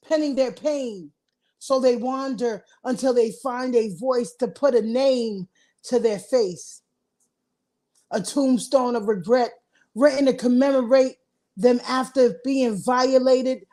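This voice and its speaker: Woman poet performing spoken word; high pitch, slow deliberate pacing, rising passion. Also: warm tone. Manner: slow, deliberate delivery building to impassioned cries.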